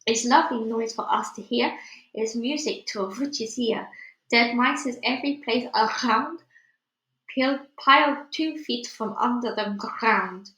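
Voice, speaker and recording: A woman's voice: a little high, unhurried, reverberant, low-quality recording. A woman voicing a disgusting old witch leader, commanding and gloating in a children's storybook. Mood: gleeful, chanting. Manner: shouting, commanding, gloating, broken grammar.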